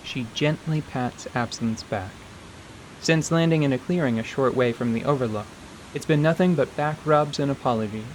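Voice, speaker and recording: A man narrating scripted fantasy in a measured storytelling manner. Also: mid-range voice, slight background noise, rough recording.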